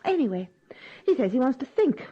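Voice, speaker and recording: An actress playing a coolly controlling grown daughter in a radio drama, with a dry, clipped delivery. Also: female voice, high voice, big pitch swings, fairly quick, slightly rough, exaggerated voice. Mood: cool, calculating. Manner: dry, clipped, matter-of-fact. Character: cool, controlling grown daughter, dryly self-possessed. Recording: dull, lo-fi radio recording.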